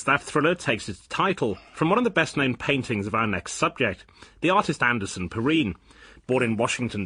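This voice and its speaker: Radio drama: male arts programme host, brisk, polished, firm moderator, animated intonation, close dry sound. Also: medium pitch, husky, dry recording, exaggerated voice. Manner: polished, measured, interrupts firmly. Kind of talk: scripted radio drama as live arts broadcast.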